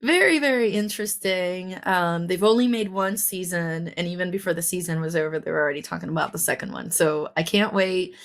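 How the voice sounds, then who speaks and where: A woman with a lowish voice co-hosts a podcast in relaxed chat. She rambles along, filling her sentences with ums and likes as she goes. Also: brisk, few pauses. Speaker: podcast co-host. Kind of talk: casual chat.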